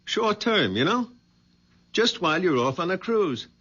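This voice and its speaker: A man's voice: sits high, sing-song, unhurried, pushed and bright, husky, exaggerated voice. An actor in a 1970s radio drama playing a pushy working-class family man, talking bluntly and colloquially. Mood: brash, insistent. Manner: colloquial, blunt, folksy double negatives. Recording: dull vintage radio recording.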